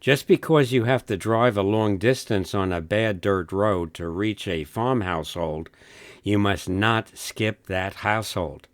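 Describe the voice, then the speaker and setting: A man narrating a scripted instructional film in a measured, instructive manner. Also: fairly low pitch, dry recording, studio quality.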